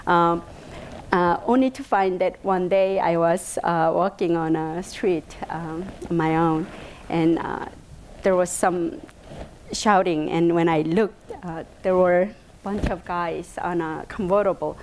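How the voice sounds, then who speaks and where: A woman's voice: lowish voice, measured pace, a little hiss or hum. A woman in her fifties from South Korea with a Korean accent, giving a personal talk to an audience in a meeting room, hesitant with many fillers. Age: 50s. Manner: hesitant, many fillers, animated hand gestures. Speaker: invited speaker. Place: bright meeting room indoors.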